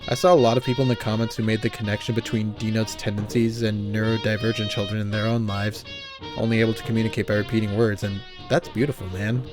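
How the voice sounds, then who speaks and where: A man's voice: lowish voice, level tone, fast talker, music in the background. A man giving casual, sweary reaction commentary with exclamations and sarcastic asides. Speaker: YouTube reactor and reviewer.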